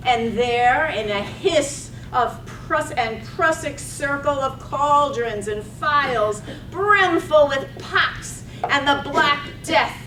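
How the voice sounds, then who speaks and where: A woman reading poetic scripted narration as a drama narrator, deliberate and relishing each word. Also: animated, pushed and bright. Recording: roomy, distant mic, faint rumbling noise.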